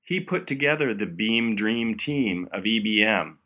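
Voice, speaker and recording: A man's voice: fairly low pitch, animated, unhurried, bright, forceful tone. Upbeat man hosting a medical podcast. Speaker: podcast host. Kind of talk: medical education podcast interview.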